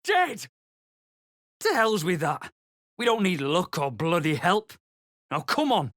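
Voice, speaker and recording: Blunt, angry young villager for a fantasy game; very expressive pitch, close dry recording. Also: male voice, slow pace, no room sound, exaggerated voice. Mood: urgent, angry. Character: rough, angry young peasant man searching for his sister. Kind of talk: video game voice acting.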